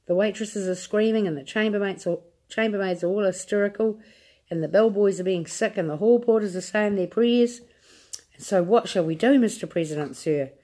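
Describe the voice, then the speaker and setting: A woman voicing a panicking male astronaut shouting in a read-aloud storybook. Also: medium pitch, normal speed, natural voice. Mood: panicked.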